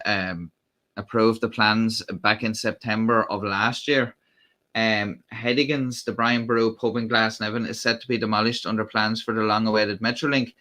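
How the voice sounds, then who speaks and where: Bright-voiced Irish man chatting on a livestream. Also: mid-range voice. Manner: rambling, many fillers and restarts. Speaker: livestream host. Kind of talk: casual live-stream chat.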